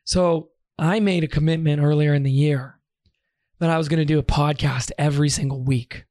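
Hoarse male host with a strained, painful voice, dry close mic. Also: a little high, steady tone, moderate pace, a little mellow, no echo. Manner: strained, pushing through pain. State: lost voice, hoarse. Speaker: podcast host. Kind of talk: casual solo podcast talk.

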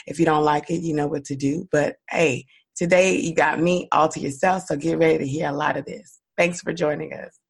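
A woman talks through a solo podcast episode with a raspy, cold-roughened voice. She stays upbeat and encouraging and speaks straight to her listeners as if they were friends. Her delivery is casual and direct. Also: deep voice, steady tone, fairly quick, few pauses. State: hoarse from a cold. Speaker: podcast host.

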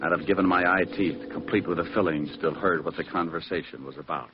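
Wisecracking American gumshoe played by a male actor, deep-toned and deadpan, old muffled radio drama recording. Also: quick pace, noisy background, natural voice. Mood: cool narration. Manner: wry, deadpan. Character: wisecracking tough private detective, ex-cop. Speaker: lead actor and narrator. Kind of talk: 1940s radio detective acting, first-person narration. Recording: dull vintage radio recording, music underneath.

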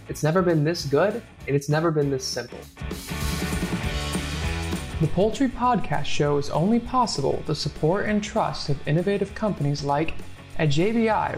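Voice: mid-range voice, creaky. A scripted promotional voice-over by an announcer, polished and selling. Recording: voice over background guitar music.

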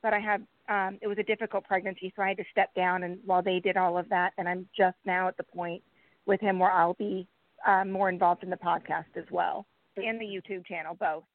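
A woman's voice: fairly low pitch, flat delivery, a little fast, no room sound. A young adult woman chatting casually as a guest in an interview. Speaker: podcast team member, interview guest. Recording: dry, muffled band-limited call audio.

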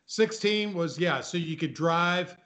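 A man's voice: fairly high pitch, slow, a bit bright. A man in his sixties reminiscing casually as a talk show guest, often repeating phrases for emphasis. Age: 60s.

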